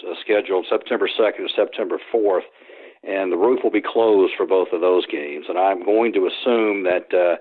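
A man, a sports historian, answering interview questions by phone, rambling with frequent restarts and fillers. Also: fairly low pitch. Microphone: telephone. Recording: tinny phone line.

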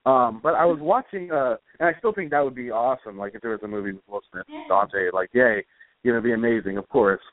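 A man giving casual, rambling interview answers as a guest author, speaking in a hesitant, halting way. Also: medium pitch. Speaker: guest, comic book author. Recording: roomy, phone-like internet radio call.

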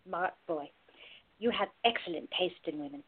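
An actress playing a playful, bold woman who teases deadpan in simple broken English in a radio play. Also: fairly low pitch, normal speed, breathy edge, phone-quality sound. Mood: teasing. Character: teasing foreign woman in costume, playful, bold, quick-witted.

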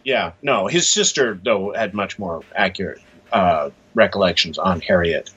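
A man speaking as an author being interviewed, in a halting, thoughtful, conversational way. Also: sits low, a bit bright, husky. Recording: dull, muffled lo-fi audio.

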